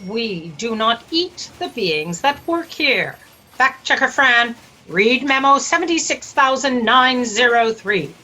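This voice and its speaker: A woman's voice: a little high, lively intonation, slow, pushed and bright, heavily acted. A woman acting as a stern, proper editor-in-chief, commanding and dismissive, in a sci-fi satire audio drama. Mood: imperious. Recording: noisy home video-call audio.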